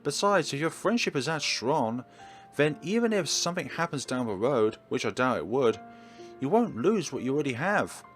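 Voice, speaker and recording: Man putting on a blunt, feisty schoolgirl, animated. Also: medium pitch, normal speed, faint music behind, pitched above the performer's own voice, close to an everyday voice. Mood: blunt, exasperated. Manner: blunt, scolding, emphatic. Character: blunt, feisty, exasperated high school girl.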